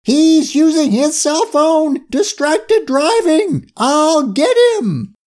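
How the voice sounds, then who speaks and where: Shrill, bossy little hamster. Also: big pitch swings, unhurried, a little mellow. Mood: outraged. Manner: shrieking, indignant, commanding. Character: excitable bossy little female hamster, fierce and indignant.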